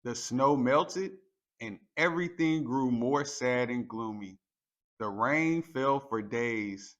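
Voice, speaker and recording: Slow-paced man reading a children's story. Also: medium pitch, dull audio. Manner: deliberate, expressive storytelling for young children. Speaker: storytime reader. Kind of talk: children's picture book read-aloud, scripted narration.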